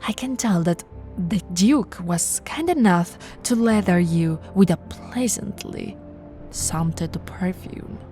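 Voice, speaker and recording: A woman voicing a possessive, seductive, haughty vampire noblewoman in an intimate roleplay, with theatrical drawn-out words and breathy whispers. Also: fairly low pitch, lively intonation, warm tone, faint music behind. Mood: seductive, hungry. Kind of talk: intimate ASMR audio roleplay.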